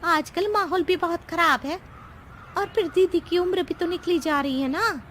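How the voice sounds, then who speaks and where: An extremely high voice from a woman acting as a timid younger woman in a comic animated story. She pleads, nags and complains. Faint noise sits behind the recording. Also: normal speed. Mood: nagging. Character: timid, nagging younger Indian woman of the household.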